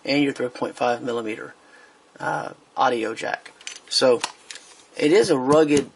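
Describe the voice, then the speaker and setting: A man's voice: mid-range voice, gravelly, slightly rough. A man giving a casual product review while he handles a speaker on a tabletop, hesitant, with many fillers and restarts.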